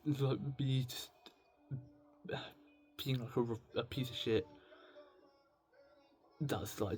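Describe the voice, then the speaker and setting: A British man talking in a casual, unscripted video diary, rambling and halting, with a self-deprecating manner. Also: fairly low pitch, a little mellow. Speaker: video diarist. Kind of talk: casual unscripted confessional monologue.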